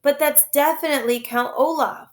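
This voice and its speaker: A woman's voice: sits high, moderate pace, pitched above the performer's own voice, natural voice. A woman voicing an earnest, stubborn orphan boy from a storybook, insistent and firm. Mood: stubborn, exasperated. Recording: echoey room.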